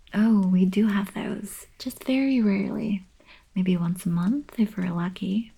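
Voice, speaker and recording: A young woman playing a friendly, eager alien princess in an ASMR roleplay, soft and whispery, with casual girlish coaxing. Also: mid-range voice, creaky, husky, exaggerated voice, poor audio. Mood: gently concerned. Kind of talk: ASMR roleplay, intimate acted monologue.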